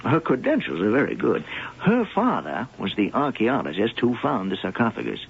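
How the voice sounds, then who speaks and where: An actor playing a dry, wry museum zoologist in a vintage radio drama, heard on a muffled old broadcast. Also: male voice, medium pitch, wildly swooping pitch, moderate pace, vocal fry, slight background noise. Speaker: zoologist professor. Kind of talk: 1970s radio drama acting.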